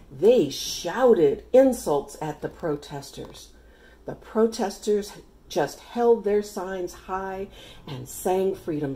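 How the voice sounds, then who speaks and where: Slow, expressive woman reading a storybook. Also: lowish voice. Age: middle-aged. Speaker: read-aloud storyteller. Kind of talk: expressive children's picture book reading.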